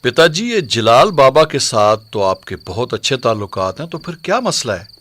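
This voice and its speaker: A man's voice: mid-range voice, sing-song, normal speed, background noise, natural voice. A man playing an arrogant, entitled army captain and son in a radio drama. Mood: questioning.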